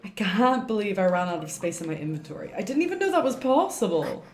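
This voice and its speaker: A woman's voice: medium pitch, big pitch swings, fast talker, slight background noise. A woman streaming a game with casual, chatty commentary, thinking aloud as she plays. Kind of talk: casual live gameplay commentary. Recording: roomy echo, game music behind. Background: game music.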